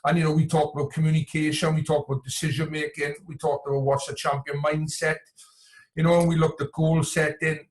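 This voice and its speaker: A man's voice: fairly high pitch, little pitch movement, brisk. A man from Wales speaking as a coach in a conversational interview, often circling back and repeating words with plenty of "you know". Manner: hesitant, repetitive, frequent "you know". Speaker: coach and entrepreneur, podcast guest.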